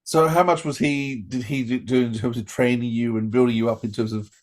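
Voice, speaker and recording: A middle-aged man hosts a casual interview in a mid-pitched voice. He talks with eager enthusiasm, often restarting and looping back as he goes. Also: fairly even intonation, fairly quick. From Australia. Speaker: podcast host.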